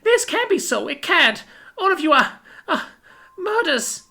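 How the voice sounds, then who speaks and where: A man's voice: very high-pitched, sing-song, unhurried, gravelly, bright, forceful tone, voice pushed up, heavily acted. A man voicing an innocent little mouse girl in a story, distraught, pleading and faltering. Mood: horrified. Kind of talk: dramatic storybook character voice.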